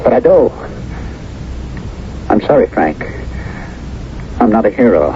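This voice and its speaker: A man's voice: on the low side, very animated, slow pace, exaggerated voice. An actor playing an anguished, fearful ordinary man who survived a war, agitated and hesitant, in 1970s radio drama style. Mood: desperate, defensive. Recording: muffled, noisy old radio broadcast.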